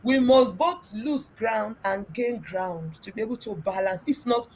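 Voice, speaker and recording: A middle-aged woman with a Nigerian accent and a big, loud voice, hosting a casual relationship talk and telling stories emphatically. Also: fairly high pitch, measured pace, a little hiss or hum, poor audio. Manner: emphatic, storytelling, mixes in pidgin. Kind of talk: casual group discussion.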